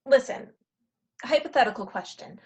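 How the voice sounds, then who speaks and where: A woman's voice: high-pitched, animated, normal speed, slightly rough. A woman playing a bossy, scheming matchmaker who talks in an insistent, persuasive way in a comic play table read.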